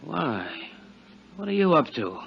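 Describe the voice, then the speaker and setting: An American man playing a gruff, jealous tough guy, blunt and suspicious, in 1950s radio drama style. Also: on the high side, big pitch swings, takes it slowly, exaggerated voice. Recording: muffled, noisy 1950s radio recording.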